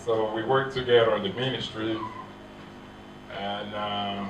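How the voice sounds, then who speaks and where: A man from Haiti, a pastor preaching in short phrases that pause for his interpreter, with grammar. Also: on the low side, steady tone, noisy background. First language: Haitian Creole. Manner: speaks in short phrases with pauses for translation, non-native grammar. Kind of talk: sermon delivered through an interpreter. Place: church hall. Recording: echoey church hall, mic off at a distance.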